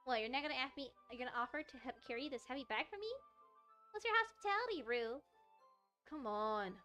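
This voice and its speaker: A woman plays a small, feisty visual novel schoolgirl with a high voice. The girl is snappy, defensive and easily flustered, over background music. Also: expressive, a little fast, pushed and bright. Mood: teasing. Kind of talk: visual novel dialogue read aloud.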